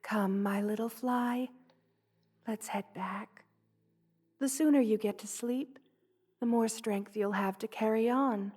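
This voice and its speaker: A woman voicing a gentle, loving, motherly spider queen in a soft, hushed ASMR roleplay, tender and wistful, often slipping toward a whisper. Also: a little high, steady tone, slow, long pauses. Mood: soothing, motherly.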